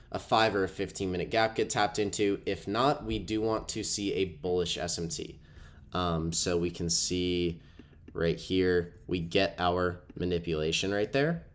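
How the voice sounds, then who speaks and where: A young man with a stuffy, cold-affected voice, casually explaining a trading setup over a screen recording with lots of ums. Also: low-pitched. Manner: casual, filler-heavy explaining with frequent ums. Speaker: trading educator. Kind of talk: screen-share trading walkthrough.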